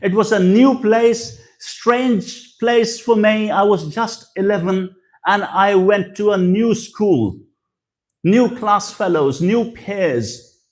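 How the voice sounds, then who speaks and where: A man's voice: high voice, takes it slowly. A man from Pakistan, giving a religious lecture that mixes advice with personal stories and repeats key phrases for emphasis. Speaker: guest speaker at a religious gathering.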